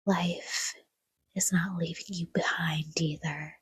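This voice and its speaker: A woman softly guiding a sleep meditation in a hushed, breathy whisper, with gentle, soothing delivery in short phrases and long pauses. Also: fairly low pitch, fairly even intonation, no echo. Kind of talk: guided sleep meditation, scripted, soft-spoken.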